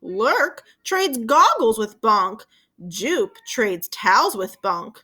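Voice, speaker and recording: A woman's voice: on the high side, sing-song, slow pace, bright, forceful tone, breathy edge. A woman teacher reading aloud to young children, addressing them as friends and prompting them with questions. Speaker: elementary school teacher.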